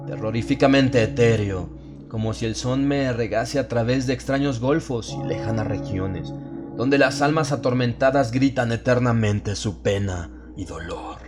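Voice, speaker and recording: A man narrating a dramatized horror audiobook in an ominous, theatrical, measured way, drawing out his phrases. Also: medium pitch. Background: atmospheric music bed.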